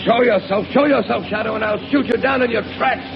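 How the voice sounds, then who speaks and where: An actor playing a gloating male saboteur villain in a 1930s radio drama, boastful and quick to turn frantic. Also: vocal fry. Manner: boastful, turns shrill and frantic. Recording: dull old radio broadcast with loud hiss.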